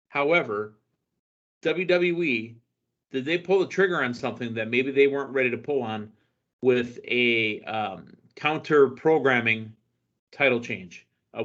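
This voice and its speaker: A man's voice: medium pitch, fairly bright tone. A man hosting a wrestling podcast, recapping matches in casual, upbeat chat. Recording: dull lo-fi audio.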